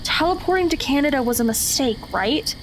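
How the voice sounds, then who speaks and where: High voice, teenage girl, inquisitive, anxious, audio drama, faint ambient noise. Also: expressive, fairly quick. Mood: puzzled, insistent. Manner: questioning, stammers when startled.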